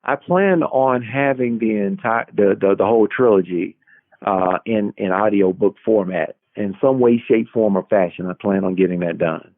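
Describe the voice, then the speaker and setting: A man answering as an interviewed author, conversational, with a halting, deliberate delivery. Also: fairly low pitch, no echo. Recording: tinny narrow phone line.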